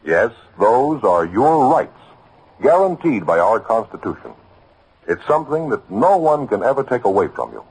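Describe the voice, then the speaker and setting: A man's voice: medium pitch, very animated, moderate pace, darkish tone, rough recording. An American man delivering an earnest, emphatic scripted radio announcement in 1950s style, addressing the listener directly with rhetorical questions. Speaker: announcer of a public service message. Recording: old radio broadcast, slightly husky sound.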